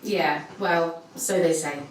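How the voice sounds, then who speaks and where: A woman's voice: low-pitched, slow. A woman playing a guarded pub worker who tells local ghost tales in hesitant, clipped answers, as in a recorded interview. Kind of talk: naturalistic audio drama interview. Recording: echoey room, distant mic, faint noise.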